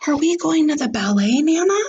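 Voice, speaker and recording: A woman's voice: high-pitched, very animated, a little fast, darkish tone, highs cut off, pitched above the performer's own voice. A woman voicing an eager, imaginative little schoolgirl from a storybook, in an earnest, childlike manner. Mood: curious, eager.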